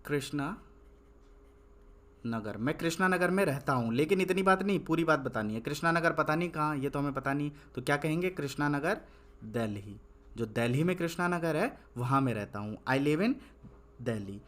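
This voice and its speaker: A man's voice: a little high, expressive, fairly bright tone. Hindi-speaking man teaching English basics. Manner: repetitive, asks and answers his own questions; dictates slowly word by word while writing. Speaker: English tutor. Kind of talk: spoken English lesson with writing on a board.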